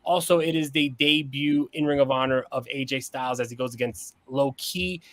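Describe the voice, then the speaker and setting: A man's voice: mid-range voice, moderate pace, dry recording. Dry-sounding man previewing a podcast episode. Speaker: podcast co-host.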